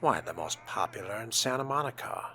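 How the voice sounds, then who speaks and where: A man role-playing a cool, courteous vampire fledgling in a video game, speaking in a measured, dry way with some breathiness. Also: medium pitch, lively intonation, pushed and bright. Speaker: player voicing his vampire character.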